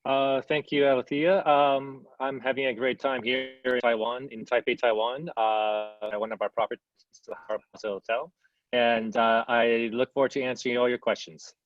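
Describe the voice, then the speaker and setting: Dull-sounding man giving halting, thoughtful answers. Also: mid-range voice, little pitch movement. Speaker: interview guest, hotel executive. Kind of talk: casual interview answers. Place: indoors at a hotel.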